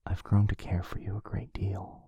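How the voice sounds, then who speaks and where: A man playing a tender, devoted vampire lover in an intimate romantic ASMR roleplay, speaking softly and haltingly with a breathy, often whispered voice. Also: deep voice, monotone, dry recording, exaggerated voice. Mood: tender confession. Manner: soft, halting, earnest delivery.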